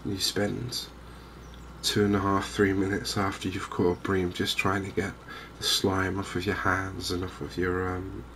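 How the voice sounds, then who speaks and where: An English man hosts a cozy ASMR gaming video in a soft, low voice. He speaks very slowly and evenly, with a creaky edge. Ambient noise is clearly heard behind him. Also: monotone. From England. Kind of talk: soft-spoken ASMR game commentary.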